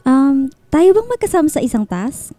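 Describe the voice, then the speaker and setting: Girlish, smitten Filipino woman in her twenties, high swooping mellow voice, radio drama. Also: sing-song, moderate pace, no echo, faint music behind. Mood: puzzled, protesting. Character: lively, girlish single woman of twenty-nine, smitten, teasing.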